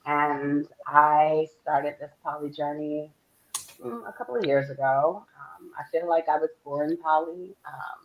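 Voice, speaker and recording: A woman's voice: low-pitched, measured pace, slight background noise, poor audio. A woman in her forties from Philadelphia, a guest talking openly and chattily about herself on a panel. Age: 40s. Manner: open, candid, chatty with soft laughs. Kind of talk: casual panel chat.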